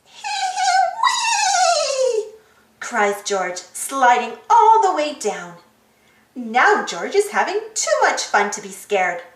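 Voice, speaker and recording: A woman reading a children's storybook aloud as an expressive narrator and host. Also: a little high, takes it slowly, echoey room, distant mic, slight background noise, rough recording. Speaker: storybook reader, channel host.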